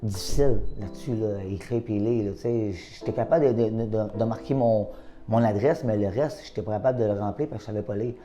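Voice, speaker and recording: A man with a Québécois accent, an adult literacy learner, telling his story in a lively way and acting out his own past thoughts. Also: on the low side, a little fast, soft background music. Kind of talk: personal testimony.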